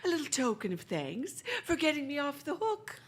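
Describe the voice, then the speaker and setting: A woman playing a polite, genteel businesswoman with a husky voice, acted in 1940s radio drama style. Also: high-pitched, sing-song, moderate pace. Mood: gushing.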